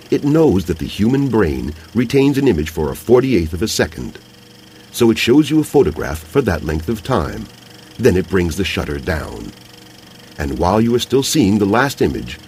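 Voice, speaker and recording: An actor playing a reflective cinema projectionist, narrating his story in a measured, scripted dramatic style. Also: low voice, darkish tone, a little hiss or hum.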